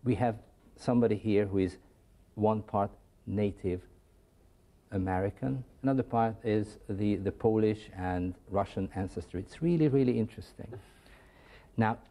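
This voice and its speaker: A man's voice: lowish voice, mellow. A man hosting an English lesson show from a school studio, quizzing his students in an upbeat, coaxing way. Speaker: English teacher and show host.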